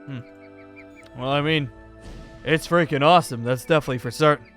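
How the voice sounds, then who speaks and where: A man's voice: a little high, brisk, a bit bright, lightly acted. A man playing a curious, easily impressed young gunslinger in an improvised Minecraft roleplay, talking casually and full of questions. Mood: impressed, incredulous. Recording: background music under the voice.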